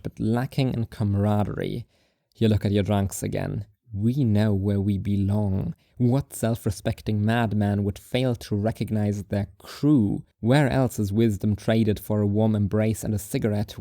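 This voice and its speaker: A man reads a second-person story in a measured, wry way. His voice is mellow and of middle pitch, recorded close and completely dry. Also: dry recording. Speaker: narrator.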